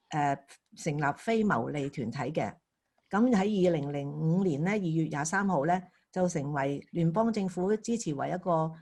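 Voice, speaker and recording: Low-voiced woman giving a careful introduction. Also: a little mellow. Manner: careful, measured, with hesitations. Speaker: founder and artistic director of an opera company. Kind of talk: formal introduction.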